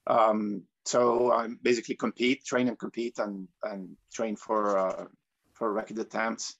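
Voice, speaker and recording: Male interview guest from Tunisia. Deep-ish, heavy fry, halting and reflective delivery, lo-fi call sound. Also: flat delivery, a bit bright. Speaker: guest, professional free diver. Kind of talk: conversational interview answers. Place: remote online call. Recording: dull lo-fi online call.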